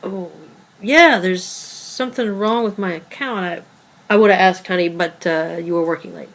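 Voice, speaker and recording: A woman playing an evasive, defensive girlfriend in a dramatic table read, with a hesitant, halting delivery. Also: lowish voice, close to an everyday voice. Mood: awkward, evasive. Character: evasive, defensive girlfriend, secretive would-be writer. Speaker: actor in a script table read.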